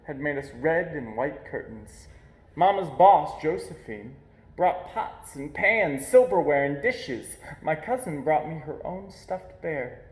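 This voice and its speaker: Male storybook reader, fairly high voice, expressive read-aloud with deliberate pauses, echoey room. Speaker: reader of a children's picture book. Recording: echoey, roomy sound.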